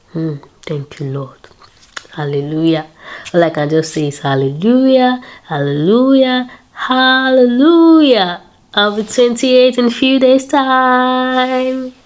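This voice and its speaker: Slow-spoken woman vlogger. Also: lowish voice, darkish tone. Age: 20s. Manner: upbeat, repeats words, prayerful asides. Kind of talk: casual faith-filled vlog talk.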